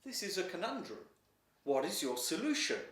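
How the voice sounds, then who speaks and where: A man's voice: high voice, very animated, moderate pace, fairly bright tone, voice pushed up. A man voicing a proud, formal and commanding emperor in a storybook reading. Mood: stern, regal. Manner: formal, regal. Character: proud Chinese emperor, indignant and commanding, long-bearded. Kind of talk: storybook character dialogue. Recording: roomy echo, distant mic.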